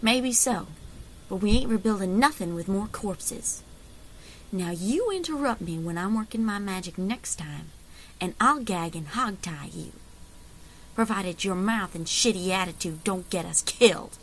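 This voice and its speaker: A woman playing a confident, folksy frontier leader in an audio drama, wry and commanding, with calm menace. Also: mid-range voice, measured pace, a little hiss or hum, poor audio. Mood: firm, unflustered.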